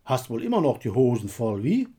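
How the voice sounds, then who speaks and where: Man acting out a jeering soldier, animated pitch. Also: fairly quick, husky. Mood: mocking. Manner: needling, jeering rhetorical questions. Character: taunting, boastful soldier, cynical and crude. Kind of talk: dramatic literary war story reading.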